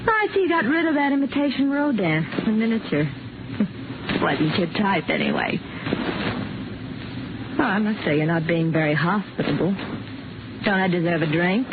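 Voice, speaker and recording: Detective's old flame, coy, easily stung, animated pitch, 1950s radio drama, tinny noisy recording. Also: female voice, moderate pace, pauses often. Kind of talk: 1950 radio drama acting.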